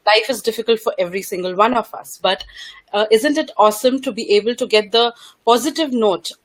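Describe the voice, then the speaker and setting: A woman's voice: on the high side, brisk. A woman in her thirties from Kuwait, a parenting blogger talking as a guest in a casual interview, eager and full of "uh" fillers as she restarts her sentences. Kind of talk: casual interview over a call.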